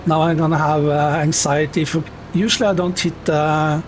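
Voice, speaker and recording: A man's voice: fairly high pitch, moderate pace, slight background noise. A young Norwegian man with a Norwegian accent, chatting casually with his viewers while he plays a game, with plenty of fillers. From Norway. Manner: relaxed, chatty, many fillers, answers chat. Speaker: streamer. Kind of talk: casual chat while gaming.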